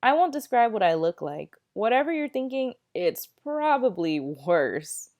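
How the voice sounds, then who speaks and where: Woman reading as an animated, candid ten-year-old boy. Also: a little high, measured pace, voice pushed up. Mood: candid, matter-of-fact. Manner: conversational, emphatic storybook narration. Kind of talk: expressive read-aloud of a children's novel.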